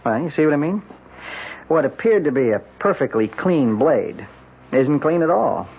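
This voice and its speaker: Methodical American doctor, calm and dry, gravelly voice, muffled vintage radio recording. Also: male voice, on the high side, moderate pace, full character voice. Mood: calm, instructive. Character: methodical male forensic doctor, calm and knowing. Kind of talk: old-time radio drama acting.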